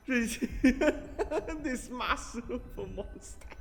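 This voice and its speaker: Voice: medium pitch, expressive, drawn-out words, creaky, in a large hall, soft background music. A game streamer giving let's-play commentary, rambling, laughing often and trailing off mid-thought.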